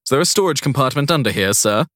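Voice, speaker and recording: A man's voice: mid-range voice, expressive, quick pace, fairly bright tone, dry recording, studio quality, pitched above the performer's own voice, lightly acted. A man voicing a stern, suspicious police officer in a crime audiobook, giving clipped, formal orders with curt politeness. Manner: clipped formal commands ending in "sir". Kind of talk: audiobook crime story character voice.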